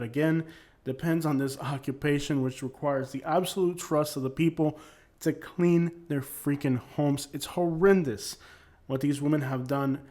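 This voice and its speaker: Man, higher-pitched voice, opinionated host, blunt, emphatic, repetitive. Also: darkish tone. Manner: blunt, emphatic, repeats points. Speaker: commentary channel host. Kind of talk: opinionated commentary on arrest records.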